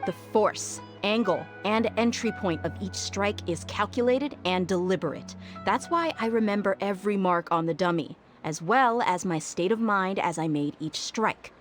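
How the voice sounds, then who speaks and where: An actress plays a disciplined young swordswoman in a video game. Her medium-pitched voice is assured and easygoing as she explains things, over faint music. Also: normal speed, lightly acted. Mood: confident, firm. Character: confident, disciplined young female mercenary swordfighter.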